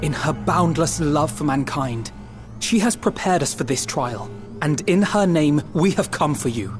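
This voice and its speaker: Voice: mid-range voice, lively intonation, a little slow, over music, natural voice. An actor voicing a steadfast, earnest and defiant young champion in a dramatic video game. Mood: solemn defiance.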